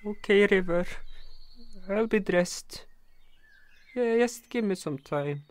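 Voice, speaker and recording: A woman's voice: medium pitch, animated, slow, darkish tone. A woman playing a grieving, listless adventuring companion, hesitant and lifeless, in an audio drama. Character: grieving, listless companion called Bird, drained of emotion.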